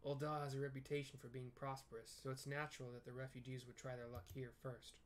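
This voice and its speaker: A man's voice: medium pitch, little pitch movement, fast, natural voice. Man acting townsman, fantasy game story, grave, apprehensive, formal old-fashioned wording, faint background noise. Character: apprehensive male city townsman, world-weary and grave.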